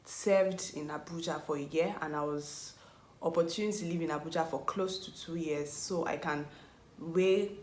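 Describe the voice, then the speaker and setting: A woman's voice: deep voice, fairly even intonation, moderate pace, a little mellow. A young Nigerian woman in her twenties, talking casually to camera. She rambles, corrects herself and often searches for words. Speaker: vlogger. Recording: echoey room.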